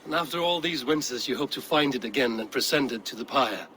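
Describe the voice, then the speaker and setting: An actor playing a steady, commanding Viking warrior leader in a game cutscene. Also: male voice, mid-range voice, fairly quick, slight background noise, close to an everyday voice, rough recording. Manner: calm, commanding. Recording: game audio with ambient background.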